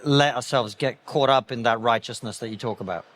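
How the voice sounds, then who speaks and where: A man's voice: mid-range voice, brisk, fairly bright tone. Man, podcast co-host, wry joke then probing two-part questions, live stage panel.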